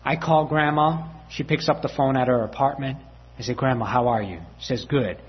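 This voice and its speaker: A man's voice: medium pitch, moderate pace, lo-fi sound. A man preaching a sermon as a story, acting out family dialogue with voices and laughter.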